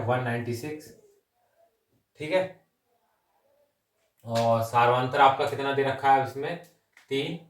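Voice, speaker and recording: Echoey, distant male maths teacher. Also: mid-range voice. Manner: repeatedly checks in with short tag phrases; mixes English number words and terms into the talk. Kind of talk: exam-coaching lesson, working sums aloud step by step. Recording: roomy echo, distant microphone.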